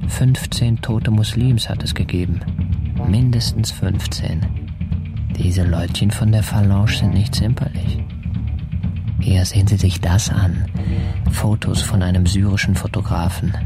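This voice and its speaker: A low-pitched fixer in a radio drama, who deals in grim photographs. He speaks with bleak, dispassionate objectivity. Prominent music plays behind him. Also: steady tone, a little slow. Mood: matter-of-fact. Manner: dispassionate, bleakly matter-of-fact. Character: Rudnick, coldly matter-of-fact male fixer selling war photographs.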